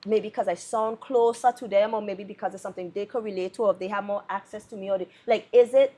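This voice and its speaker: A woman's voice: medium pitch, steady tone, quick pace, warm tone. A woman from the Virgin Islands with a Caribbean accent, a musician speaking candidly and casually as a podcast guest. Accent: Virgin Islands Caribbean English. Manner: candid, laid-back, slangy.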